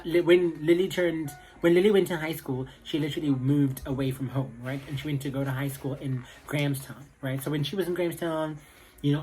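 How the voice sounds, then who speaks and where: A man's voice: on the high side, poor audio. A young man with a South African accent, chatting casually to camera in a rambling way full of fillers. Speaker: vlogger.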